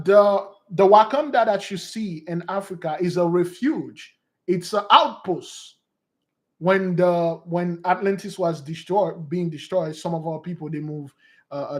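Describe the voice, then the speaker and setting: A man teaching in a loose, rambling monologue who repeats his points and calls his listeners beloved. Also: high-pitched. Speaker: preacher and teacher.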